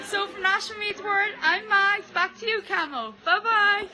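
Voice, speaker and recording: A bubbly woman with a very high voice introduces her dance students with cheers and playful teasing. She talks over a busy crowd in a hotel dining room. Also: moderate pace, loud music behind the voice. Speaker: dance teacher presenting a segment. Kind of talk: lively casual introductions. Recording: crowd chatter in the background.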